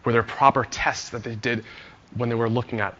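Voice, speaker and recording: Hoarse man, conference talk, bright tense voice, dull muffled sound. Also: mid-range voice, steady tone, fairly quick, long reverb tail. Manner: friendly, storytelling with frequent "uh" fillers. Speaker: conference speaker, engineer. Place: conference hall with audience.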